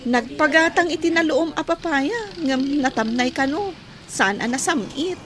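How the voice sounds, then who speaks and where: A woman's voice: sits high, expressive, a little slow, crowd noise. A woman playing an earnest, respectful young village student in an Ilocano radio drama. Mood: teasing, curious.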